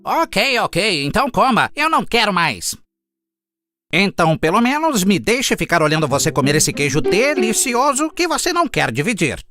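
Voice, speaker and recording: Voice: medium pitch, very animated, moderate pace, music in the background, natural voice. An actor voicing a clever, sly fox in a children's cartoon fable, coaxing and smug in a theatrical way. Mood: bargaining. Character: clever sly fox who sweet-talks and teases.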